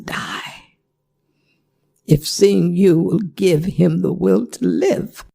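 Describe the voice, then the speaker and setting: A performer voicing a worried, gentle older werewolf mother in an ASMR audio drama, speaking in halting, pleading phrases. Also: mid-range voice, gravelly, breathy edge, full character voice. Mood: anguished.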